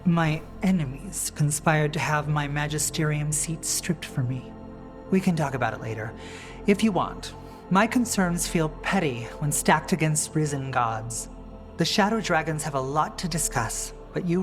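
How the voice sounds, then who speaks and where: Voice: medium pitch, expressive, measured pace, lightly acted. An actor playing a poised, wry aristocrat and rebel leader in a fantasy video game, urbane and formal. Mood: composed. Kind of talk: dramatic video game acting. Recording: faint music behind.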